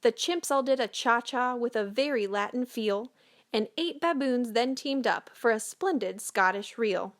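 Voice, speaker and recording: A woman storyteller narrating a children's picture book in a measured, expressive read-aloud manner. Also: on the high side.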